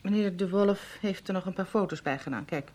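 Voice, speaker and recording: A woman's voice: medium pitch, a little fast, darkish tone, slight background noise, natural voice. An actress playing a dry, sceptical wife who teases her husband, in a radio drama with a Netherlands Dutch accent. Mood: wry, relaxed. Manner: wry, teasing, assertive. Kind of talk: Dutch radio play acting.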